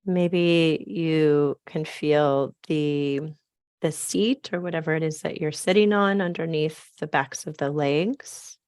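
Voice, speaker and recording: A woman with a scratchy, raspy voice, softly leading a slow grounding meditation on a video call. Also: sits low, dry recording, clean studio sound. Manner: soft, slow, gently inviting. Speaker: program facilitator.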